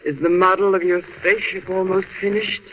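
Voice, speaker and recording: A woman's voice: mid-range voice, flat delivery, brisk, heavy background noise, close to an everyday voice. Woman acting earnest, anxious wife, muffled old radio. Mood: anxious curiosity. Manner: earnest, questioning. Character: gentle, anxious alien wife and young mother. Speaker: radio drama actress. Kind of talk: 1940s radio drama acting.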